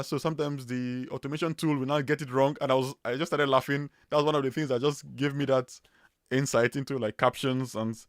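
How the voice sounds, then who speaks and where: A man's voice: mid-range voice, a bit bright, dry recording. Nigerian-accented man hosting a dry livestream. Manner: chatty, loose, with many fillers and restarts. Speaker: livestream host. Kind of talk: casual livestream chat.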